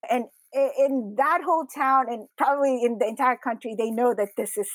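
Woman from the Philippines, high voice, close dry sound, personal interview storytelling. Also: brisk, dry recording, rough recording. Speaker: interview guest, women's community founder.

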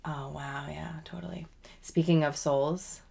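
A woman's voice: sits low, steady tone, measured pace, vocal fry, a crowd in the background. A young adult woman co-hosting a podcast, chatty and teasing, sometimes dropping to a whisper. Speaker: podcast co-host. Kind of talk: casual chat.

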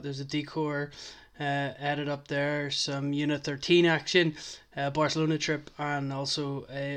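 A man's voice: mid-range voice, fairly bright tone. A man hosting a podcast in casual chat, rambling and enthusiastic, with plenty of fillers. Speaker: podcast host.

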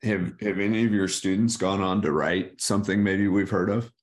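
A man's voice: low voice, steady tone, normal speed. A man who works as an exercise coach, asking interview questions in a friendly way with hesitant restarts over a video call.

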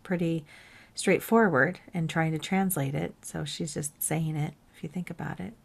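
A woman in casual, reflective conversation, thinking aloud in an expressive way, with Vietnamese as her first language. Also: low-pitched, moderate pace, creaky, breathy edge.

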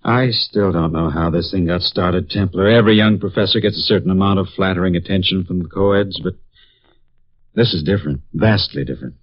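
A brooding cynical professor. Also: male voice, sits low, brisk, no echo. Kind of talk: 1950s radio drama acting. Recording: dull lo-fi 1950s radio broadcast.

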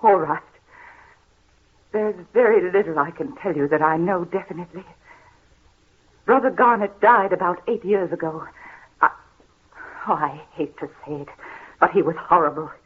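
An actress playing a frightened, tormented heiress in a 1930s gothic radio mystery, with halting, dramatic delivery. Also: female voice, on the low side, breathy edge. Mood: anguished, confessing. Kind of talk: 1930s old time radio acting. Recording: muffled, scratchy 1930s radio recording.

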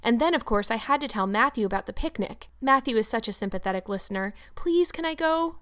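A chattering orphan girl of about eleven, breathless and gushing, as a woman reading the book puts her on. She pleads in a raised, lively voice. It is a storybook reading. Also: a little high, a little fast.

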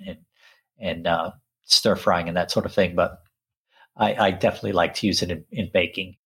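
Husky low-voiced man, halting talk. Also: fairly bright tone. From born in Sri Lanka, raised in England. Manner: halting, with frequent restarts and repeated words. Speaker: podcast co-host. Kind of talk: casual conversational chat.

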